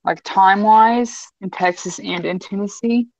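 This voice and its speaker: A woman's voice: medium pitch, fairly even intonation, a little slow, a little mellow, no room sound, highs cut off. A woman of twenty trading playful, sassy comebacks in casual banter on a livestream. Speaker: guest.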